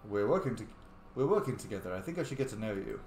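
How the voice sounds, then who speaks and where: A man's voice: lowish voice, sing-song, quick pace, a little mellow. A man voicing a polite, slightly flirty, inquisitive male adventurer in a fantasy game roleplay. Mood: curious. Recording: echoey room with faint noise.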